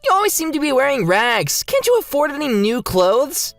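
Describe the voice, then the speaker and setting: A woman's voice: high voice, sing-song, unhurried, no echo, faint music behind, heavily acted. A woman voicing a mocking, bragging rich schoolboy bully in a manga dub. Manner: mocking, smug.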